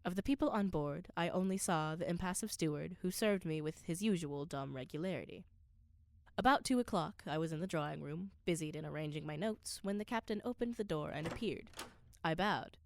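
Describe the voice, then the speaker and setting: A woman's voice: lowish voice, a little fast, dry recording, lightly acted. A woman playing an earnest scholar who narrates an undersea voyage in a radio play, with precise, literary, dramatic delivery. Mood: measured, puzzled.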